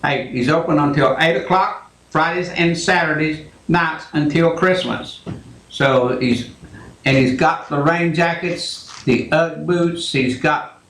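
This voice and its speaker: A man hosting a call-in radio show, talking in a slow, halting, rambling way. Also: on the high side, fairly bright tone, roomy sound, a little hiss or hum, rough recording. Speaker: radio talk show host.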